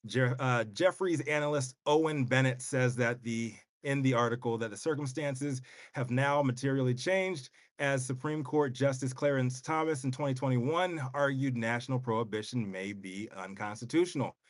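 A man's voice: medium pitch, normal speed, few pauses, no room sound, studio quality. Male host with a wry news read. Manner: reads the story with wry asides and slang. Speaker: co-host, news segment presenter. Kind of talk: casual news commentary.

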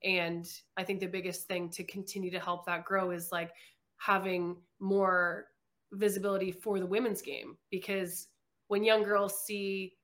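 A young woman from Canada talks casually as an interview guest. She fills her sentences with "like" in a loose, chatty way. Her voice sits at an ordinary middle pitch. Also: flat delivery, unhurried, a bit bright. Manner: chatty, lots of "like" fillers.

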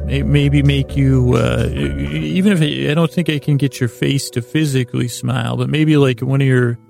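Very creaky, halting male bedtime podcaster. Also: steady tone, a little mellow, faint music behind. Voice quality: gravelly, creaky. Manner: meandering, halting, stammering with repeated false starts; drowsy, droning, low-key. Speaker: podcast host. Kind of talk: rambling bedtime podcast intro monologue.

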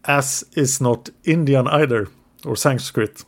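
English-speaking man, podcast co-host, mid-pitched, narrates history from notes with the odd hesitation. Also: measured pace. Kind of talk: casual history podcast storytelling.

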